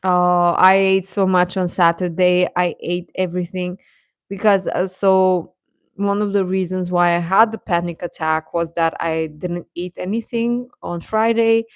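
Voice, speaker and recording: A woman's voice: lowish voice, fairly even intonation, unhurried, clean tone, no echo, clean studio sound. A woman chatting casually as a livestream host, talking directly to viewers and often repeating her phrases.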